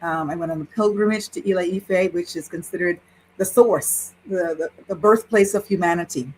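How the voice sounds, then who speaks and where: A woman in her sixties, a priestess and interview guest, recounting her spiritual journey in an animated way with frequent laughter. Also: on the low side, moderate pace, breathy edge, poor audio. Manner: animated, laughs while telling a story. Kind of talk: personal storytelling in conversation.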